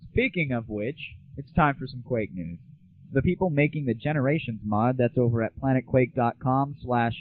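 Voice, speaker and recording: A man's voice: mid-range voice, no echo. A young American man hosting a casual solo show of gaming news and comic rants, irreverent, opinionated and slangy. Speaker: host. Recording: tinny, dry, phone-like narrow audio.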